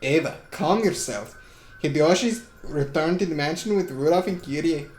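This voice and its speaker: Woman putting on a grave gentleman, echoey room. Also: sits low, soft background music, natural voice. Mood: grave, restrained. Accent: German. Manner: formal, measured. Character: grave, composed gentleman, formal and controlled. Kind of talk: amateur dramatic reading. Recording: echoey room, faint noise.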